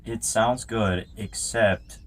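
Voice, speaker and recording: A man's voice: fairly low pitch, fairly even intonation, slow. A man in casual reaction chat as a guest co-commentator, recorded at a distance from the mic. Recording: distant mic, clear music behind.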